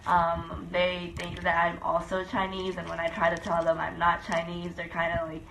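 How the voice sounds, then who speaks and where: A young woman from the Philippines, raised in the States, talks thoughtfully about life as a student abroad. She pauses often with hesitant fillers. Her voice sits on the low side, with a faint hiss behind it. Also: completely flat delivery, vocal fry, reverberant. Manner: thoughtful, hesitant with many ums. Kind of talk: interview testimony.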